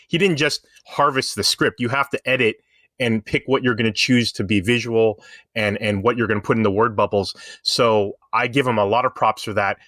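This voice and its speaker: A man's voice: medium pitch, sharp tone, dry recording, clean studio sound. A man co-hosting a podcast, talking casually and giving opinionated explanations. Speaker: podcast co-host.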